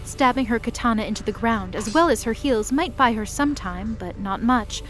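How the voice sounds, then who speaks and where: A woman giving scripted narration of a fantasy battle in a steady, measured way. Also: on the high side. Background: music.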